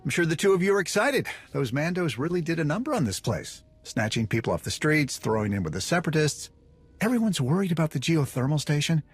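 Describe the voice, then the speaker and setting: Game role: evasive, folksy smuggler, higher-pitched man, very animated delivery, music underneath. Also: fast talker, breathy edge, dry recording. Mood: breezy, excited. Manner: slangy, folksy, rambling. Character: nervous, fast-talking male smuggler informant, chatty and evasive. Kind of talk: video game dialogue acting.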